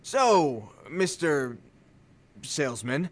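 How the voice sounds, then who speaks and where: A man voicing a nervous bandit underling in a video game, halting and obsequious. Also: fairly high pitch, very animated, heavily acted. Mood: nervous, placating. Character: nervous male bandit underling, eager to placate.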